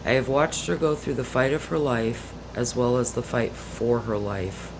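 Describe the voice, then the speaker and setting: Parent reading a letter over room hum. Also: female voice, rumbling low, normal speed. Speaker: parent of a transgender daughter. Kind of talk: reading a personal letter aloud.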